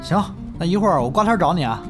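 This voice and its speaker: A man's voice: high voice, expressive, racing. A man with a northern Mainland Chinese accent, acting a genial, streetwise young comic performer in an audio drama. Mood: teasing. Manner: colloquial, placating. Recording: music behind the voice.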